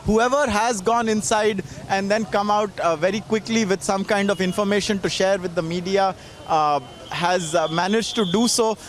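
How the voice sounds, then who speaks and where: A man's voice: high voice, steady tone, normal speed. A man with an Indian accent giving a live on-location news report in a formal, wordy way with frequent hesitations. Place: outdoors on a city street.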